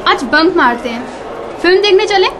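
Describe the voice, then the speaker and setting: A woman's voice: extremely high pitch, lively intonation, moderate pace, crowd noise. A woman playing a bored, playful young college student in casual radio drama slang. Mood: casual, scheming. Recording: noisy classroom ambience behind.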